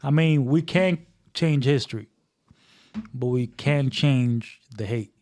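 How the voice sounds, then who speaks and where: A man's voice: medium pitch, slow pace, warm tone. A man from the Dominican Republic, co-hosting a casual podcast in an easygoing, emphatic, joking way. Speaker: podcast co-host. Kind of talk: casual chat.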